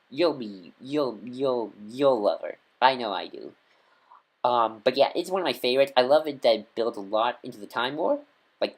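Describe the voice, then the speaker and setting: A man talking casually as a fan reviewer, enthusiastic and rambling, with lots of fillers and restarts. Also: mid-range voice. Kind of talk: casual fan talk to camera.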